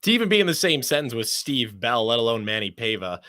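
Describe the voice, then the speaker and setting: A young man with a bright, projecting broadcaster's voice talks in a sports interview. He is articulate and measured, choosing humble, rather elaborate phrases. The sound is dry and close. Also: medium pitch, sing-song, no room sound. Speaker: sports play-by-play announcer, interview guest.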